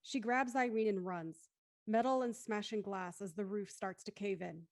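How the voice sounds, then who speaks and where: A woman's voice: medium pitch, normal speed, dry recording, studio quality, close to an everyday voice. A woman acting as the intent narrator of an audio thriller, reading dramatic scripted narration. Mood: intent storytelling.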